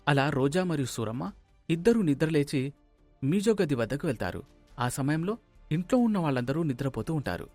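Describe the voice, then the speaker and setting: A man's voice: a little high, sing-song, fairly quick, darkish tone, soft background music. A man narrating a scripted moral story as its storyteller.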